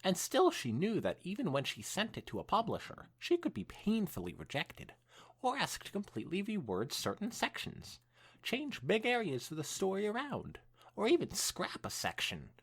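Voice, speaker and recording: A man reads aloud as a podcast co-host, with big swings in pitch and a husky edge to his voice. His delivery is expressive, now and then tripping on a word, and it slips easily into casual conversation. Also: normal speed. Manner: expressive reading, stumbling over words. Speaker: podcast co-host reading fan fiction. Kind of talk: dramatic read-aloud mixed with casual chat.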